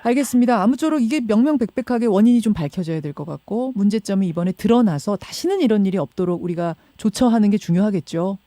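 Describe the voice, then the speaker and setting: A woman's voice: mid-range voice, quick pace, few pauses, dark tone, dry recording. A woman hosting a radio news show, interviewing in a conversational, engaged way and restating what she hears. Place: radio studio. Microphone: close studio microphone.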